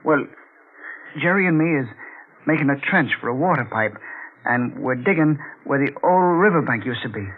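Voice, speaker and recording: An actor playing a plain, deferential working man in a 1940s radio drama, halting and folksy. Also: medium pitch, animated, slight background noise, lightly acted. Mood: hesitant, respectful. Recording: very tinny 1940s radio recording.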